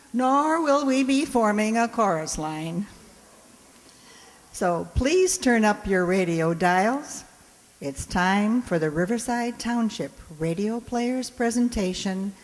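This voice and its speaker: A woman's voice: medium pitch, a little mellow. A woman host giving a prepared introduction to a live audience in a playful, wry, chatty way. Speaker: host introducing a radio players' show. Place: indoor hall in front of an audience. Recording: echoey hall, live stage.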